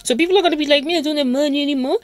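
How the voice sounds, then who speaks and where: A woman's voice: sits high, racing, dry recording. Sarcastic woman vlogger. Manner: sarcastic, mocking, acts out voices. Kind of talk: animated monologue to camera.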